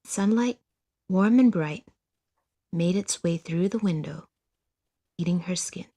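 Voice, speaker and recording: A woman reading scripted literary narration for an audiodrama in a measured, deliberate storytelling manner. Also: lowish voice, dark tone.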